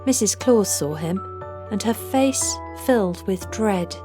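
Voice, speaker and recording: A woman narrating a children's storybook aloud, with deliberate, rhythmic delivery of rhymed phrases. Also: mid-range voice, expressive, mellow, soft background music. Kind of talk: scripted storybook read-aloud.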